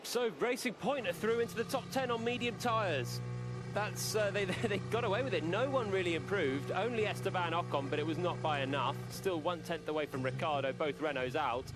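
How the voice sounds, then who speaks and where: A man's voice: high-pitched, fairly quick, over music. A man giving live analysis as a motor racing co-commentator, with cars heard behind him.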